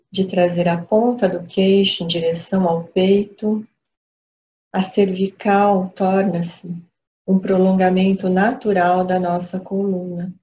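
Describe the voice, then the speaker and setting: Slow-paced Brazilian woman guiding meditation. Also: on the low side. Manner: calm, gentle, measured instruction. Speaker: meditation teacher. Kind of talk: guided meditation instruction. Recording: dull lo-fi audio, background noise.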